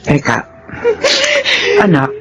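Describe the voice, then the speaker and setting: A woman's voice: takes it slowly, music in the background, natural voice, low-quality recording. A woman playing an exasperated middle-aged mother in a melodrama, questioning and reproaching emphatically. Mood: concerned, probing. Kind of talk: melodramatic drama acting.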